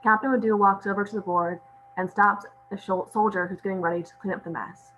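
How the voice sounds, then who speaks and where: A woman's voice: mid-range voice, fairly even intonation, brisk, a bit bright, low-quality recording. A woman reading stage directions as scripted narration at a table read.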